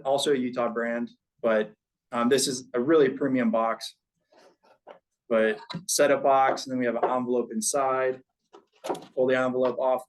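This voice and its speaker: A man from a packaging company giving a loose, casual talk to a live audience in an event room, showing examples as he talks, with many fillers and restarts. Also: medium pitch. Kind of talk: live panel presentation.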